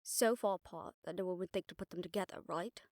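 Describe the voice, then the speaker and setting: A woman playing an exasperated, sharp-tongued witch detective in an audio roleplay, talking casually and wryly to a partner. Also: fairly low pitch, fast, slight background noise, natural voice. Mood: focused, explaining. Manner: casual, wry, mildly swearing, explanatory. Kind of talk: conversational audio roleplay acting.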